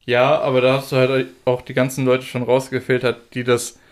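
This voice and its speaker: A man's voice: mid-range voice, monotone, normal speed. A man co-hosting a casual podcast, making dry, wry remarks. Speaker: podcast co-host. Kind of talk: casual chat.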